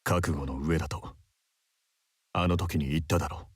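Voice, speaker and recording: A man playing a composed, committed attorney in a visual novel, speaking in a measured, serious way. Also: a bass voice, steady tone, breathy edge. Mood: serious, resolute. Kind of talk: Japanese visual novel voice acting. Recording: game music behind the voice.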